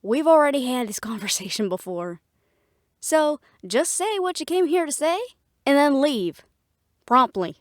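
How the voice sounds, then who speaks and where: A woman's voice: high voice, animated, measured pace, no echo, studio quality. A woman playing a sarcastic, defiant young half-fae daughter in an audio drama, with a snappy manner. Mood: sarcastic, irritated. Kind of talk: dramatic audio roleplay acting.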